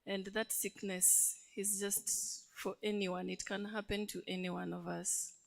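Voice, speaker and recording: Deaf woman giving a slow testimony. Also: medium pitch, level tone, gravelly. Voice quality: deaf speaker. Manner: engaging, asks the audience questions. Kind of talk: testimony to a congregation. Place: church.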